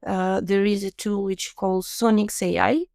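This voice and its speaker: A woman's voice: medium pitch, steady tone, moderate pace, vocal fry, darkish tone, no echo, clean studio sound. A woman with a Ukrainian accent, a non-native English speaker hosting a podcast interview, explaining tips earnestly and laughing easily. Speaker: podcast host.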